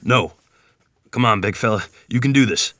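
A man's voice: fairly low pitch, moderate pace, a bit bright, no echo, clean studio sound, close to an everyday voice. A man playing a gritty, determined 1920s river ferryman in a screenplay table read, terse and commanding. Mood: gritty, resolute.

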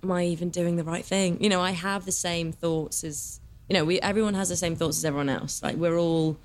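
A young adult actress talking candidly in a casual podcast chat, full of fillers like "you know" and "like". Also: female voice, fairly low pitch, steady tone, a little fast. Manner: chatty, candid, many fillers. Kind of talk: casual conversation.

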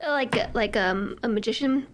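A woman streamer chatting on a podcast, upbeat and quick to laugh. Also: on the high side. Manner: giggly, upbeat. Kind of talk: casual chat.

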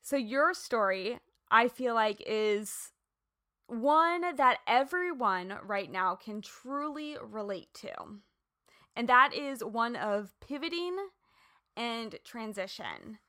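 A woman's voice: on the high side, expressive, slow pace, sharp tone. A woman hosting an interview show, talking in a casual, chatty and rambling way. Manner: chatty, rambling, lots of "like" and "you know". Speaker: podcast host.

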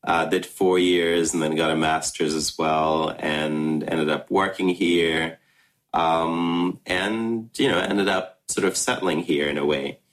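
A man in his thirties from Ghana, first language Russian, answering interview questions reflectively with many pauses and restarts. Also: low voice, steady tone, fairly bright tone, low-quality recording. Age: 30s. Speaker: filmmaker.

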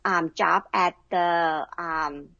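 A woman's voice: on the low side, steady tone, drawn-out words, vocal fry, bright, forceful tone, no room sound, highs cut off. A middle-aged woman from Vietnam, answering casually as an interview guest. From Vietnam, moved to the US at eleven.

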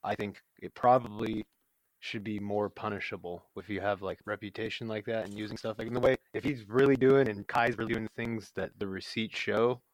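A man's voice: fairly low pitch, fairly even intonation, fairly quick, no room sound, rough recording. A man co-hosting a casual podcast, talking loosely and hesitantly with plenty of fillers. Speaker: podcast co-host.

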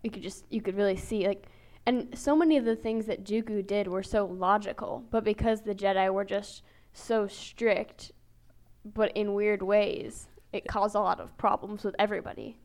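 Female podcast panelist, medium pitch, informal conversational delivery. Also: moderate pace, darkish tone. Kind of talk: casual chat.

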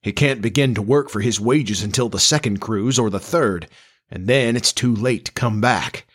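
Sour farm father done by a male narrator, middle-aged, lowish pitch, curt, irritable, grumbling, folksy, storybook. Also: moderate pace, slightly rough. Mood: irritable, scornful.

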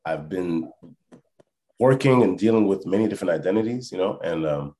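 A deep-voiced man you'd hear as the musician guest on a relaxed podcast, feeling his way through an answer and checking you follow. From born in France, lived in Canada and Texas, Congolese family. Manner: reflective, halting, often checks the listener follows. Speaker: hip hop musician, interview guest. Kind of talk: casual podcast interview.

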